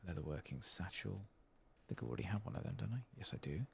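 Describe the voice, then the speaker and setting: A man giving soft-spoken, sometimes whispered ASMR commentary on a game, murmuring to himself and reading text aloud. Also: low voice, expressive, fast talker, vocal fry, slight background noise. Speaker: ASMR gaming channel host.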